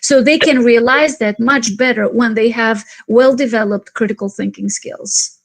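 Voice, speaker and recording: Albanian-born woman speaker, higher voice. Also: moderate pace, gravelly. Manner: thoughtful, restarts and fillers, emphatic. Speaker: conference speaker. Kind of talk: answering questions in an online session. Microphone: video call.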